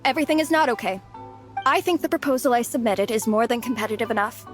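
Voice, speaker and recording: A woman playing an overworked young female office worker with a buried temper in an animated game cutscene, earnest and emotive. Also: a little high, fast talker, natural voice. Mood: hesitant, resolute. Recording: music behind the voice.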